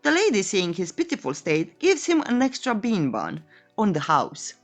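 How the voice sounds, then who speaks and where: A woman's voice: mid-range voice, wildly swooping pitch, moderate pace, soft background music. A woman reading a scripted story recap as a narrator, in a playful, tongue-in-cheek way. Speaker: narrator of a comic recap channel.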